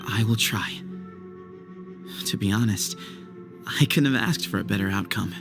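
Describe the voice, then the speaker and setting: A man's voice: fairly low pitch, measured pace, vocal fry, over music. A man voicing a gracious, sincere young desert temple heir in a video game, polite and earnest in his speech. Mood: unsure, humbled.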